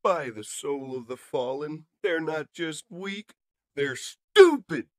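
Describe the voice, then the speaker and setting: A man's voice: fairly high pitch, expressive, slow pace, voice pushed up. A man voicing a cruel male robot jet warrior with a sneering manner.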